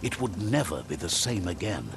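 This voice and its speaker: A man playing a wry, theatrical storyteller who narrates a post-apocalyptic fable in a video game with deliberate, whimsical delivery. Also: low-pitched, very animated. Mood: solemn storytelling. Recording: clear background noise under the voice. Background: game ambience and noise.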